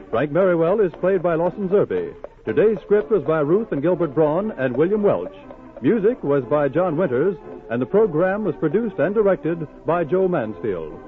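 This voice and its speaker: Animated old-time radio announcer, man. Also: on the high side. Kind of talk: 1940s radio announcing, scripted. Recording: old narrow-band radio broadcast. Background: music.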